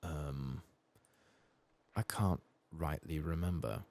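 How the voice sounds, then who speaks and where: Male ASMR gaming host: extremely low, gravelly, soft-spoken, casual muttering with lively swings in pitch. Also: slow. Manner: casual muttering, swearing mildly. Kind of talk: soft-spoken ASMR game playthrough commentary.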